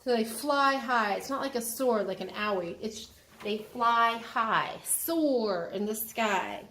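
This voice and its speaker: A woman's voice: on the high side, slow pace, fairly bright tone. A woman reading aloud with a child and teaching how to sound out words in an instructive way, stretching them as she reads. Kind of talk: read-aloud phonics lesson with casual chat. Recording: echoey room, slightly distant, faint background noise.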